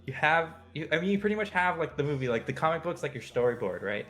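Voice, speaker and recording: A man's voice: fairly high pitch, sing-song, quick pace, fairly bright tone, faint music behind. A man co-hosting a casual show, bantering and rambling in informal slang. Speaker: show co-host. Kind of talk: casual banter about video game movies.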